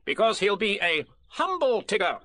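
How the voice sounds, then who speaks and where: A man's voice: big pitch swings, bright, forceful tone, heavily acted. A man doing a fussy, bossy rabbit. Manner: brisk, self-important, high-pitched. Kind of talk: storybook reading.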